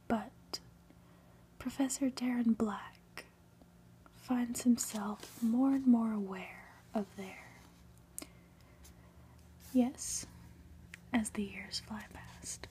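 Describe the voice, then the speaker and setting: A woman whispering softly and breathily in an ASMR style, gentle and unhurried, as a reader and host. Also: a little high, fairly even intonation, slight background noise. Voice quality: breathy, mostly unvoiced whisper. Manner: soft, unhurried, gentle delivery. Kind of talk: whispered ASMR, storybook reading and soft chat.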